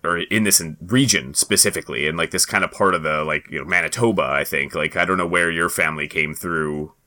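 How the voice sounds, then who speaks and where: A man's voice: low-pitched, brisk, sharp tone. A man co-hosting a podcast, talking casually in a hesitant way. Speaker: podcast co-host.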